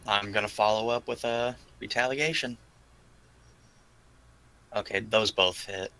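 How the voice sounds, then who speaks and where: A man's voice: lowish voice, measured pace, pushed and bright, soft background music. A man playing a tabletop roleplaying game, chatting casually in short remarks as a player.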